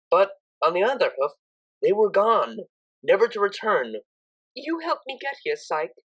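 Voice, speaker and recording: Woman narrating a story with lively intonation. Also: fairly low pitch, low-quality recording. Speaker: audiobook narrator. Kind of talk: expressive scripted story narration.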